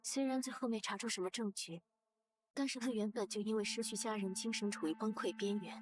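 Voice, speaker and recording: A woman's voice: mid-range voice, fast talker, close to an everyday voice. A woman voice-acting a guilty, resigned young woman confiding in a visual novel game. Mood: grave, confiding. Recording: game audio with music behind.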